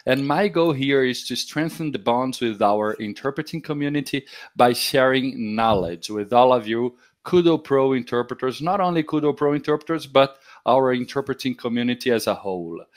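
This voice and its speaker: A man hosting an online interview series, welcoming viewers and introducing his guest in an upbeat way. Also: mid-range voice. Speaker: interviewer host.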